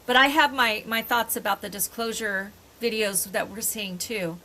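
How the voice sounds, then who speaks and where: An older woman co-hosting a podcast in casual chat, rambling and often pausing to search for words. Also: on the high side, steady tone, sharp tone, low-quality recording. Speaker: podcast co-host. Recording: faint background noise.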